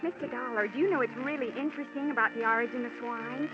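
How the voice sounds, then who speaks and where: An actress playing a proud, earnest young pig breeder in a 1940s radio drama, given to lecturing. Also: female voice, on the high side, fast, natural voice. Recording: muffled vintage radio broadcast with music.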